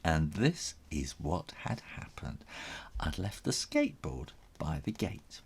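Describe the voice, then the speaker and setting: A man's voice: rumbling low, huge pitch swings, slow, vocal fry, slightly rough. A man reading a children's story aloud as its author, theatrical and suspenseful, drawing out his words.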